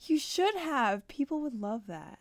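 A woman's voice: high-pitched, unhurried, no echo. Woman co-hosting a fan podcast, laid back, some ums, dry close sound. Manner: relaxed, hesitant with ums, giggles. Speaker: podcast co-host. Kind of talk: casual fan podcast chat.

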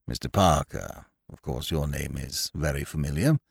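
A man's voice: a bass voice, moderate pace, gravelly, slightly rough, no echo, clean studio sound, heavily acted. A man voicing an effusive, jovial English barrister in an audiobook, urbane and talkative, with an upper-class English accent. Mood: gracious, eager. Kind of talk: audiobook character voice.

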